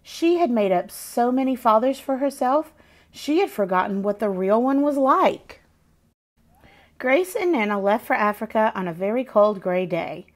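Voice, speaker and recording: A woman reading a children's picture book aloud as an expressive narrator. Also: a little high, normal speed.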